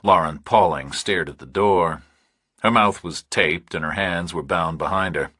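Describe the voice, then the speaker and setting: Deep, husky male thriller audiobook narrator. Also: pushed and bright, no room sound, studio quality. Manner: measured, clipped, dramatic phrasing.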